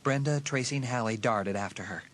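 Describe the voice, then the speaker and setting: Mid-pitched male story narrator. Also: a little fast, background noise. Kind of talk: scripted audiobook narration of a horror story.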